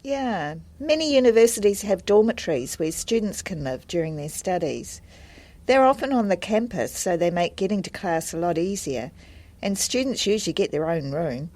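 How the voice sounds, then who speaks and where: A woman acting a helpful, friendly adviser in a scripted listening-test dialogue, speaking in a measured, explanatory way. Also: lowish voice, lively intonation, darkish tone, no echo. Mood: helpful, calm.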